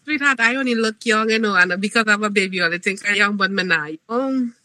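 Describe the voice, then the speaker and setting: A woman's voice: mid-range voice, brisk, bright, forceful tone, no echo. A woman with a Trinidadian accent co-hosting a casual talk show, sassy and joking. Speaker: co-host. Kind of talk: casual chat.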